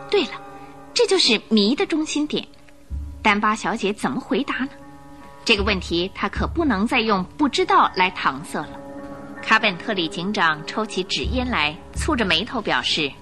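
A woman narrating a radio drama as an expressive, theatrical storyteller with scripted delivery. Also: mid-range voice.

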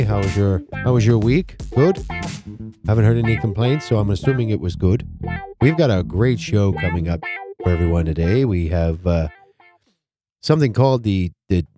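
A man hosting a casual podcast, stuffed up, talking in a rambling, halting way and repeating his words. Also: low-pitched, dark tone. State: stuffed up nose. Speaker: podcast host.

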